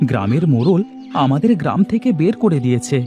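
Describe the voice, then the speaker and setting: A man's voice: on the high side, big pitch swings, quick pace, music in the background. A man playing a humble, anxious village husband in a cartoon, speaking earnestly and pleadingly.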